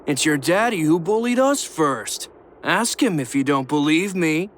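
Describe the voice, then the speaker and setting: A man's voice: on the high side, expressive, measured pace. A male actor playing a sneering, bullying miner who leads angry thugs in a video game, taunting as he talks. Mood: mocking, menacing. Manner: taunting, mocking. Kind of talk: English video game voice acting.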